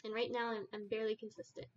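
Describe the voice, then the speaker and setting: A soft-spoken young woman with a quiet voice, chatting hesitantly and giggling often on a casual live stream. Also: fairly high pitch, little pitch movement, fast talker, muffled recording. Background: soft background music.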